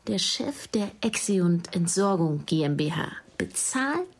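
A woman's voice: fairly low pitch, big pitch swings, measured pace, heavily acted. A woman acting in a radio crime drama as a driven, brooding investigator who speaks in an earnest, searching way, in a naturalistic style. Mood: focused.

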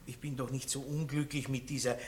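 Ein Schauspieler mit österreichischem Akzent spielt in einem Hörspiel einen großspurigen, lüsternen Landbaron mittleren Alters, der schwadroniert und prahlt. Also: male voice, medium pitch, flat delivery, a little fast, a little hiss or hum, natural voice. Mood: fawning. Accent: Austrian. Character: boorish lecherous middle-aged country baron. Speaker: radio play actor. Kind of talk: 1960s radio drama acting.

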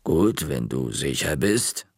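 A man voicing a smug, quietly threatening woman librarian, speaking slowly, in a storybook reading. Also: a bass voice, sing-song, breathy edge, dry recording, studio quality, voice pushed down, heavily acted. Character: sly menacing woman librarian, middle-aged.

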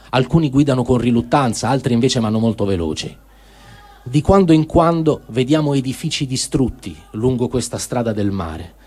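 A man reads a first-person diary in speech, in measured, deliberate phrases, as a dramatic radio reading. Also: medium pitch, a little mellow. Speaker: reader of written testimony on radio.

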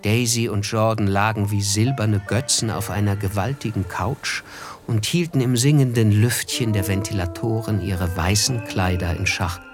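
Man acting thoughtful young gentleman narrator, low voice, radio play, bit of music behind. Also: normal speed, natural voice. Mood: observant. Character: reflective, observant young gentleman narrating his memories. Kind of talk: literary scripted narration in German radio drama.

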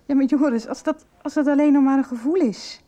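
A woman's voice: high voice, brisk, warm tone, natural voice. An actress playing a caring, inquisitive woman in a radio soap, speaking with concern. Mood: concerned, doubtful. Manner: concerned, questioning. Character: caring, inquisitive woman friend in a hospital bed.

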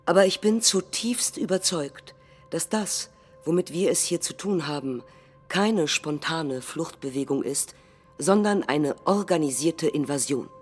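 A blunt, alarmist president, played by a woman delivers an anti-immigration address in a staged political reading. The voice is fairly low and emphatic. Faint music runs underneath. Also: lively intonation, unhurried, breathy edge, heavily acted. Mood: grave warning.

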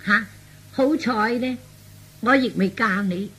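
A man voicing a sharp, scolding young jilted fiancée in husky falsetto for a radio comedy. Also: slow pace, full character voice. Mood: scornful, indignant. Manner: scolding, taunting, emphatic. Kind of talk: Cantonese radio comedy acting.

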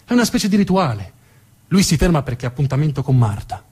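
Husky guy telling a stage story, voice rises and falls a lot, fairly high. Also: fast, soft background music. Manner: expressive, dramatic storytelling. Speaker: narrator and actor. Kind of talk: theatrical monologue narration.

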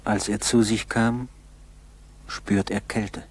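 A man narrating a radio play solemnly, in a measured way with dramatic pauses. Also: lowish voice, level tone, husky.

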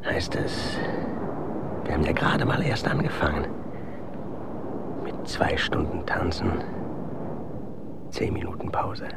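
Very slow, gravelly, exhausted dancer. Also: male voice, rumbling low, exaggerated voice. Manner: weary, muttering, repetitive, half-whispered. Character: exhausted, bitter male marathon dancer recounting the endless grind. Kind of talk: radio drama inner monologue. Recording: loud noise and ambience behind the voice.

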